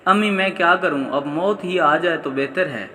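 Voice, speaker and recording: A man's voice: on the high side, moderate pace, sharp tone. A man voicing a distraught, wronged young wife in a story, emotional and reproachful. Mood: anguished, resolute. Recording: long hall-like reverb.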